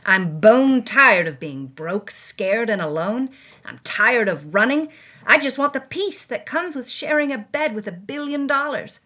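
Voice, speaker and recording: A woman's voice: fairly high pitch, lively intonation, unhurried, pushed and bright, heavily acted. An actress playing a hard-bitten, world-weary woman reading her journal with wry, dramatic emphasis, as first-person audio drama narration. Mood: weary, defiant.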